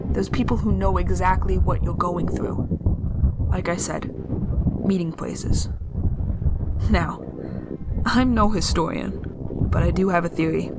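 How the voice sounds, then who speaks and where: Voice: medium pitch, heavy background noise, natural voice. An actor playing a lonely, reflective survivor logging a monologue in an audio drama, musing and hesitantly self-correcting.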